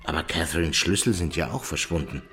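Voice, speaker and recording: An actor playing a brooding, intense middle-aged man with a husky, gravelly voice in a radio drama. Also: very deep voice, brisk, fairly bright tone, soft background music. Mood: flat. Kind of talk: German radio drama dialogue.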